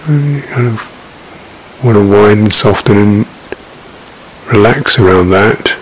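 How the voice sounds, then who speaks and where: Slow, low-voiced man guiding meditation. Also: rough recording. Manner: halting, reflective, with long pauses. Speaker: meditation teacher. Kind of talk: unscripted guided meditation. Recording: steady background hiss.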